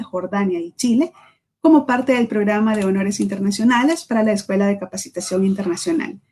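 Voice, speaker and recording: A woman's voice: medium pitch, dark tone. Woman reading formal introductions. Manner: measured, formal, with small self-corrections. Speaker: event presenter.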